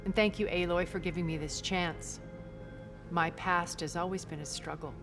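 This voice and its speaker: Actress as refined rich immortal woman, very low voice, measured, eloquent, quietly scornful, video game dialogue, music behind. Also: fairly even intonation, lightly acted. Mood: earnest resolve. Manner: measured, elegant, theatrical phrasing.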